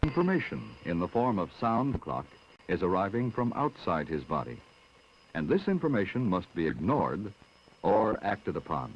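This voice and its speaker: Low-voiced guy narrating an old school film, lively delivery, dull vintage film sound. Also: husky. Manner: measured, explanatory. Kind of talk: scripted educational film narration.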